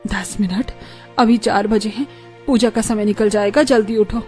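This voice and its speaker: A woman's voice: mid-range voice, steady tone, moderate pace, a little mellow, faint music behind, natural voice. A woman playing a stern, scolding mother-in-law. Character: strict traditional middle-aged mother-in-law, scolding. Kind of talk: cartoon voice acting.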